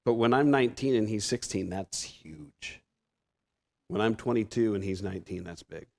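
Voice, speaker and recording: A gravelly, fairly low-voiced man in his fifties preaches in a church with a Pacific Northwest American accent. His delivery is conversational and self-deprecating, full of restarts. The creak in his voice stays steady throughout. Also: normal speed. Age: 50s. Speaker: guest preacher. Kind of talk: sermon. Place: church sanctuary.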